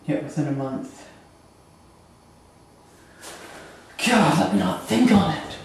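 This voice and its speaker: A man acting a grieving, bitter young prince in a theatrical Shakespearean monologue, with halting, emphatic delivery and dramatic pauses. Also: mid-range voice, steady tone, warm tone, slight background noise, natural voice. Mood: anguished. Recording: echoey room, distant microphone.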